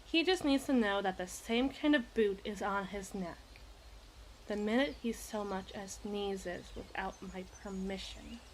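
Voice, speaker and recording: A woman's voice: mid-range voice, a little slow, a little hiss or hum, natural voice, low-quality recording. A woman playing a possessive, imperious evil queen in an ASMR roleplay, speaking in formal, teasing, theatrical diction. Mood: haughty, dismissive.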